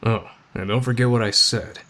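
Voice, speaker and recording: A man voicing a gruff, stoic teenage tough guy with a soft spot, terse and reassuring, in an anime roleplay. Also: medium pitch, steady tone, normal speed, lightly acted. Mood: gruff reassurance. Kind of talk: anime fan audio roleplay.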